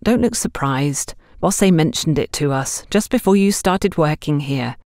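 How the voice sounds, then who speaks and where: A woman's voice: sits low, lively intonation, a little slow, darkish tone, no room sound, clean studio sound. A woman voicing a bold, outspoken young salesgirl in an audiobook, blunt and challenging. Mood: earnest.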